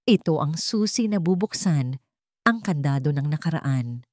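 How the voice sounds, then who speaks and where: A woman's voice: low voice, lively intonation, unhurried, darkish tone, no room sound, clean studio sound. A woman with a Filipino accent reading a scripted dramatic story as its narrator.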